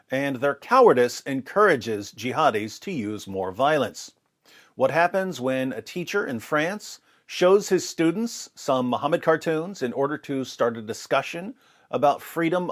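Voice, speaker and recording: Male commentator, slightly high voice, deliberate and emphatic scripted delivery. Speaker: commentator and host.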